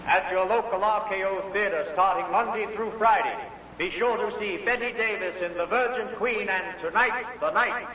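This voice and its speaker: High-pitched male announcer, echoey and noisy. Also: steady tone, moderate pace. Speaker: radio announcer. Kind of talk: vintage radio announcing. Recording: echoey, muffled, noisy old radio recording.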